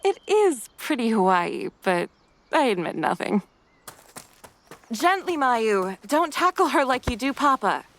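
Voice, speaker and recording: A woman voicing a reserved, refined mother who carries quiet guilt, with formal, measured wording in a motion comic. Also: a little high, sing-song, full character voice. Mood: gently dry.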